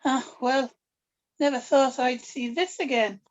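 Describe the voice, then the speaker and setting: Older female role for an actress: secretive grandmother, soft but firm, high voice, dull lo-fi recording. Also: fairly even intonation, measured pace. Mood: measured, reflective. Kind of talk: scripted table-read acting.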